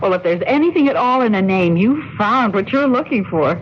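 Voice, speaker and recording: An actress playing an eager, outspoken wife on a road trip in a 1970s radio drama. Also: female voice, medium pitch, animated, fairly quick, natural voice. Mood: eager, excited. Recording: noisy, muffled old radio broadcast.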